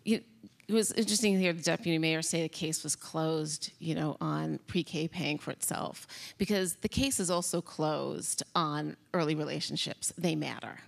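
A woman's voice: low-pitched, normal speed, gravelly. A woman in her forties, a child development expert on a conference panel, explaining things emphatically into a handheld mic on a theater stage. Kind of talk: panel discussion.

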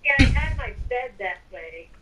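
A woman with a fairly high voice chats while role-playing in a game. The delivery is casual and improvised. Her voice comes over voice chat with faint noise and clicks. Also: animated, moderate pace, fairly bright tone, low-quality recording. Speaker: gamer playing an evil character in roleplay.

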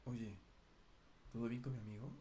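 A man's voice: medium pitch, steady tone, normal speed, warm tone, a little hiss or hum, close to an everyday voice. A man playing an easygoing young man who teases his cousin and chats with his best friend. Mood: teasing. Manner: teasing, chatty. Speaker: ASMR roleplay performer.

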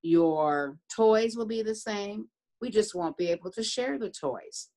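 Casting: woman in her 60s, preschool educator, unhurried, medium pitch, simple emphatic explanations. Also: expressive, mellow. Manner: deliberate, repetitive, emphatic. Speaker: early learning center educator. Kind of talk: simple explanation addressed to young children. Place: room at home, indoors.

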